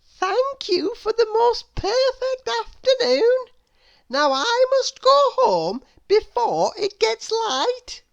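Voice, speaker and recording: A contrary little storybook lady, very high and shrill. She stretches her words slowly and gleefully, with big swoops of exaggerated cheek. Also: very animated, creaky, lo-fi sound, heavily acted. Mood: polite, contrary. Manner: shrill, drawn-out, exaggerated delivery.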